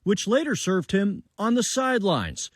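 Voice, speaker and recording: A man's voice: high-pitched, very animated, a little slow, no room sound, studio quality. A man working as a TV news reporter, narrating a scripted feature. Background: music bed.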